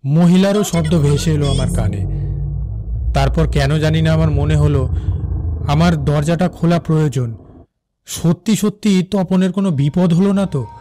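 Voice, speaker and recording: A man playing an uneasy night-shift railway worker, narrating his own horror story with suspense in an animated cartoon. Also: fairly high pitch, moderate pace, long pauses, a little hiss or hum, lightly acted. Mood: anxious. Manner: suspenseful, with fearful repeated phrases. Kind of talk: first-person dramatic horror story narration.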